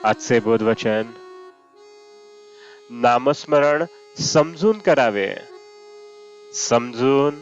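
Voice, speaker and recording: A man reads a spiritual discourse aloud in a measured, deliberate way. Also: medium pitch, expressive, muffled recording. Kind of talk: read-aloud devotional discourse. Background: Indian classical raga music with sitar.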